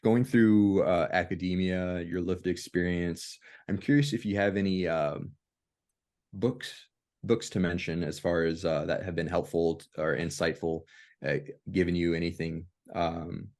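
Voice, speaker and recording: Low-voiced man, halting and casual. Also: steady tone, a little mellow. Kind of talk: casual chat. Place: video call.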